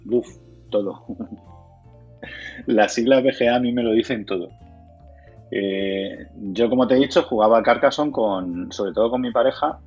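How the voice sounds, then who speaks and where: A man's voice: medium pitch, a little slow, pauses often, a little mellow, breathy edge, highs cut off, soft background music. A man from Spain with a Castilian accent, answering interview questions conversationally, sometimes repeating words as he searches for phrasing. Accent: Castilian Spanish. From Madrid, Spain. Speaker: interviewee, board game community organizer.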